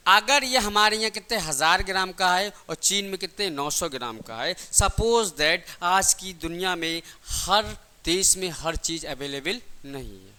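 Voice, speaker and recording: A man's voice: high-pitched, expressive, unhurried, sharp tone, clear, resonant tone. A man teaching a class, asking questions and answering them himself, repeating key points in simple everyday examples. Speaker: physics teacher for exam coaching.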